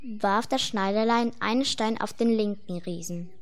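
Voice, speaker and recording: A woman's voice: mid-range voice, normal speed. A woman reading scripted fairy-tale narration for a radio play.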